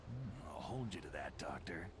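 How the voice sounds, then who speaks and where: Brief: battle-worn covert operative for a video game, very low gruff voice, deadpan delivery. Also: male voice, normal speed, noisy background. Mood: dry. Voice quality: gravelly, gruff. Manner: terse, dry, flirtatious deadpan. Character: gruff, wry veteran male special-forces infiltrator.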